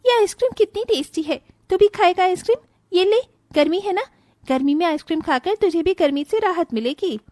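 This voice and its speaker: A woman playing a selfish, vain, irritable young mother in an animated moral story, with a whiny, dramatic delivery. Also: very high-pitched, brisk, no room sound, clean studio sound. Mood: playful, cooing.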